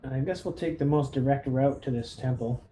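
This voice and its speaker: A man with a dark, mellow voice talks through his moves in a tabletop roleplaying game. His delivery is casual and conversational. There is faint noise and ambience behind him. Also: mid-range voice, brisk.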